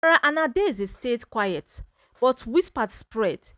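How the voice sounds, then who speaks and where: A woman narrates a folktale at a slow, measured pace. Her voice sits fairly high and the sound is dry and close, with a dramatic storytelling delivery. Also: sing-song, pushed and bright, dry recording.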